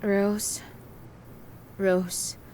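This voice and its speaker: A woman playing a confused, tender mother in a Filipino radio drama, speaking in a halting way. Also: medium pitch, flat delivery, darkish tone, slight background noise. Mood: dazed, tender. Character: frail, confused, tender mother longing for her daughter.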